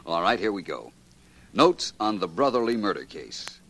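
An American man playing a shrewd, wry detective in a 1940s radio drama, speaking deliberately and with self-assurance. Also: fairly low pitch, big pitch swings, a bit bright, heavily acted. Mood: wry, teasing. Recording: vintage 1940s radio recording.

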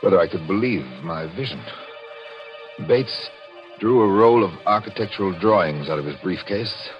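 A man's voice: fairly low pitch, animated, normal speed, dull audio, close to an everyday voice. An actor playing an anguished, guilt-ridden man, narrating his crime with dramatic intensity in a 1940s radio mystery. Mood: tense. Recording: old radio broadcast, loud background music.

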